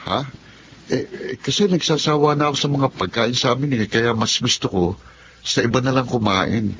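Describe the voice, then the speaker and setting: A man's voice: mid-range voice, moderate pace, fairly bright tone, close to an everyday voice. An actor playing an evasive young Filipino man in a naturalistic TV drama, with a hesitant, stammering way of talking. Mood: flustered bluffing. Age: young adult. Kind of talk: naturalistic television drama acting. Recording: dull audio with faint background noise.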